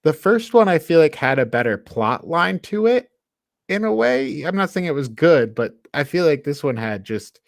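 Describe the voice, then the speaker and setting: A man's voice: on the high side, very animated, normal speed, no echo. Casual man in a dry close recording. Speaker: podcast host. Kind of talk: casual film review banter.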